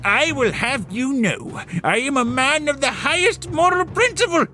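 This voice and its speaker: A man's voice: very high-pitched, expressive, moderate pace, pushed and bright, soft background music, pitched above the performer's own voice, heavily acted. A man voicing an indignant, self-righteous character in an audio storybook, haughty and pompous. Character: indignant, self-righteous, high-voiced moral objector.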